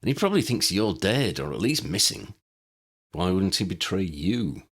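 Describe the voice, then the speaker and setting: A man's voice: low voice, sing-song, moderate pace, husky, exaggerated voice. A man voicing a cocky, stubborn young male reporter in an audiobook reading, with a bold, insistent delivery. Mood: breezy.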